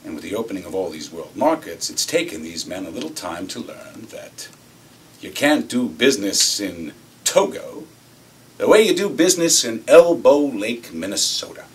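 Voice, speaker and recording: An actor playing a cynical, swaggering corporate executive, telling his story in a theatrical monologue with deliberate pauses. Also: fairly low pitch, very animated. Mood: smug, cutting. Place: acting workshop room. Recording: distant mic with faint room noise.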